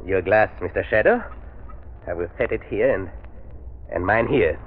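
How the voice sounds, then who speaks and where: An actor playing a scheming, megalomaniac army villain in a 1930s radio drama, with a theatrical, formal and sardonic delivery. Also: male voice, fairly low pitch, expressive, moderate pace, slight background noise, lightly acted. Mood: gloating. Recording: muffled 1930s radio broadcast recording.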